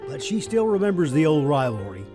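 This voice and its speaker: An older man narrating a local TV feature story in a measured, folksy storytelling way. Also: fairly high pitch, very animated. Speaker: TV feature host and reporter. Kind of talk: scripted news narration. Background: music.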